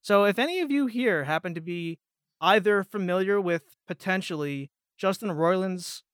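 High, clear-voiced male guest. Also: lively intonation, normal speed, a bit bright, no echo, clean studio sound. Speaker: interview guest, podcaster and voice actor. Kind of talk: casual interview chat.

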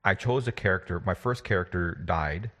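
A deep-voiced man who runs tabletop games, chatting loosely with friends. He tells stories with animated energy, repeats himself a lot and swears casually. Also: moderate pace. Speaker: game master and podcast host. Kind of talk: casual chat.

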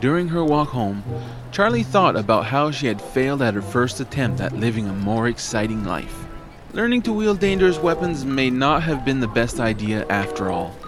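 A man's voice: mid-range voice, big pitch swings, moderate pace, over music. A man narrating a scripted comedy audio drama in a wry, dramatic storytelling manner.

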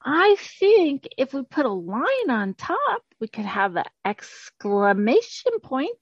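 A woman's voice: high voice, big pitch swings, takes it slowly, slightly rough, no room sound, heavily acted. A woman voicing a small, wonderstruck troll who muses hesitantly in an improvised audio drama, slightly muffled. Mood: pondering.

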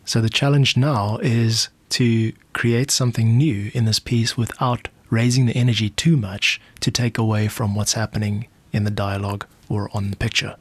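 A man's voice: fairly low pitch, fairly even intonation, normal speed, few pauses, slightly rough, no echo. South African man, dry podcast narration. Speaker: podcast host, music composer and producer.

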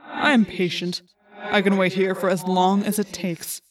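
A woman voicing a cruel male alien villain commander in a menacing, gloating, drawn-out way for a story. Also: mid-range voice, level tone, music in the background, natural voice. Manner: slow, drawn-out, taunting.

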